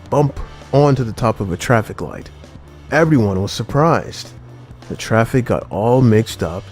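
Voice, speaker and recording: A man reading a children's storybook aloud in an expressive, dramatized narrating style. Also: medium pitch. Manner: expressive, dramatizing key words. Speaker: audiobook narrator.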